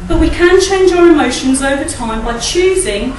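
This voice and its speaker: Middle-aged woman, croaky, chatty church talk, high voice, echoey hall picked up from far off. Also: expressive, moderate pace, slight background noise. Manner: chatty, asks the audience tag questions and laughs often. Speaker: church speaker. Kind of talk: informal talk at a church service. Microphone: standing mic at a lectern, filmed by a far camera. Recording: echoey hall, recorded from a distance.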